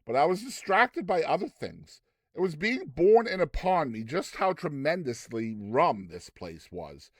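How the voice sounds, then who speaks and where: A man giving a dramatized audiobook narration, theatrical and expressive, with deliberate phrasing. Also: fairly high pitch, no echo. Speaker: narrator of short story.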